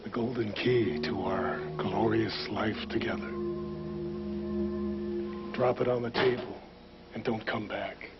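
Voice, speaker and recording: A man's voice: lowish voice, steady tone, slow pace, long pauses. A bitter, sarcastic wounded man. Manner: sarcastic, curt. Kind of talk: TV soap opera acting. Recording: dull lo-fi TV recording with music.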